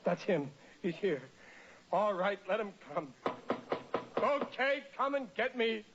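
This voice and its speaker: An excitable, anxious working-class husband who blusters through everything in a high voice. It is old radio comedy, with a muffled sound. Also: male voice, slow pace, noisy background, exaggerated voice. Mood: doomed, melodramatic. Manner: blustering, melodramatic, ungrammatical. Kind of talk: 1940s radio comedy acting.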